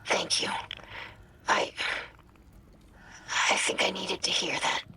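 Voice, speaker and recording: A woman's voice: slow, bright, forceful tone, slight background noise. A woman playing an earnest, anxious young alien scientist in a video game, speaking hesitantly and formally. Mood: relieved. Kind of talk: video game dialogue acting.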